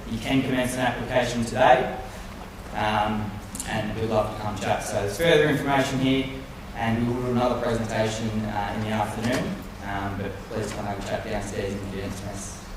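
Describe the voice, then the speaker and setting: A man's voice: on the low side, level tone, normal speed, background noise. An Australian man from careers staff making a friendly, hesitant announcement with many fillers. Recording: echoey hall, distant mic.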